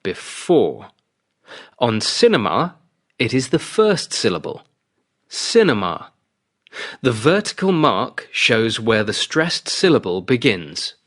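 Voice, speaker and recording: A man explains a pronunciation point for learners in scripted narration. His pitch swings widely across each sentence, and he speaks slowly. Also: wildly swooping pitch, a bit bright. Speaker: lesson narrator.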